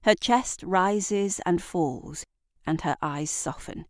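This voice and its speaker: A woman's voice: lowish voice, dry recording. Woman narrating drama, dry close sound. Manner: deliberate, dramatic storytelling. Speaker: writer and narrator of an audio drama. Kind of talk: scripted literary narration.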